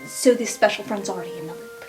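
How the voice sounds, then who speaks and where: A woman's voice: medium pitch, fast, mellow, soft background music, pitched below the performer's own voice, lightly acted. A woman playing an earnest, meddling young student in an improvised fantasy roleplay.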